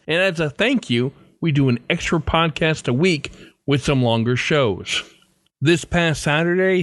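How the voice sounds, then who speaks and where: A man with a slightly high voice reads a scripted podcast intro as host. The sound is dry and close to the mic. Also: no echo.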